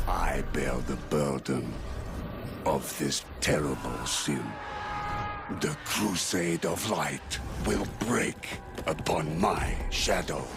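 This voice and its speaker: An actor playing a grave, sorrowful monk leader who turns to forbidden darkness, in a solemn video game monologue. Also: male voice, rumbling low, vocal fry, full character voice. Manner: solemn, portentous.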